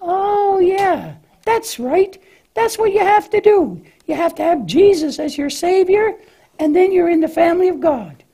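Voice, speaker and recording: A woman's voice: very high-pitched, lively intonation, a little slow, warm tone, full character voice. A woman voicing a cheerful, naive young lamb in a children's church puppet show, hesitant and questioning in a childlike way. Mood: curious.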